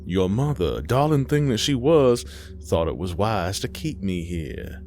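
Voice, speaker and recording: A man voicing a sly, ancient female demon in a horror story, taunting and theatrical with drawn-out phrasing. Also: lowish voice, lively intonation, darkish tone, soft background music. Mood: gloating.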